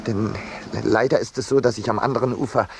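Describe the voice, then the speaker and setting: An actor playing a mild, courteous painter with a hesitant, dryly ironic manner in a 1950s German radio play. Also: male voice, medium pitch, fairly even intonation, a little fast, lightly acted. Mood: polite, evasive. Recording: noisy old radio drama recording.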